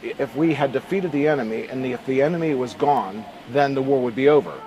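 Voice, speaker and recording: A man's voice: mid-range voice, fast talker, highs cut off, over music. A man giving earnest interview answers in emphatic, short, repeated phrases. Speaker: interviewee, bereaved father.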